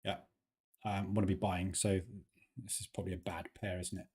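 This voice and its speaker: Male host of a live trading room, relaxed and warm in tone, talks it through conversationally. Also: deep voice, fairly quick, slightly rough. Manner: chatty, explains plainly with "yeah" tag questions.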